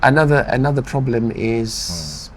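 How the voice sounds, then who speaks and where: A middle-aged man from Kenya, a pastor and former dancehall artist, talking emphatically in a casual interview and building up excitement when he boasts. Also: medium pitch, measured pace, background noise.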